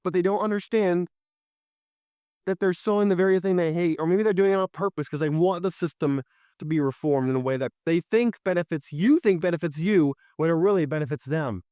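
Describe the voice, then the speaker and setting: Impassioned young man, hoarse, high voice. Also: a little fast, dark tone, no room sound, studio quality. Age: young adult. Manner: impassioned, preachy, addresses listeners directly. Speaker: podcast host. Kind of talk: solo political commentary.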